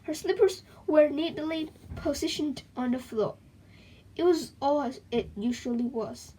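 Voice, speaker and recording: A woman reading a children's book aloud in an expressive, dramatic way, with chatty asides to her young listeners. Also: fairly high pitch, a little hiss or hum, poor audio.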